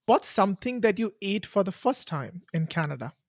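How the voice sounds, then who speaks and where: A podcast host who calmly asks short interview questions and gives brief backchannels. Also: medium pitch, moderate pace, no room sound, clean studio sound. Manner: calm, brief prompts and soft backchannels.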